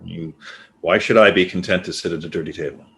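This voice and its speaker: A man acting as a nosy, teasing former literary agent in a stage play, husky-voiced, with a probing, conversational manner. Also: low voice, fast talker, a bit bright, exaggerated voice. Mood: chatty, curious. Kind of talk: naturalistic stage play dialogue.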